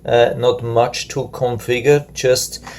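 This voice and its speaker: A man's voice: medium pitch, flat delivery, slow, a little mellow, a little hiss or hum. A man casually reviewing a gadget hands-on, explaining it plainly, step by step. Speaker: product reviewer.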